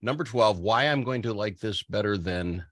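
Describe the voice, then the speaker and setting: A man's voice: on the low side, moderate pace, fairly bright tone, no room sound, clean studio sound. A man hosting a radio show with casual banter about songs, blunt and argumentative in his opinions.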